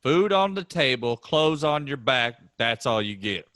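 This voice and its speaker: A man reading the part of a gruff, scornful middle-aged farmer father, quick to anger. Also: mid-range voice, sing-song, a bit bright, no echo. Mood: angry. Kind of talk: staged play reading.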